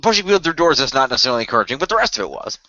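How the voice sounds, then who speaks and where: A man, a cast member of a radio play, talking quickly with frequent restarts in a casual online group chat over muffled audio. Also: mid-range voice, big pitch swings, bright, forceful tone. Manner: fast, restarts often.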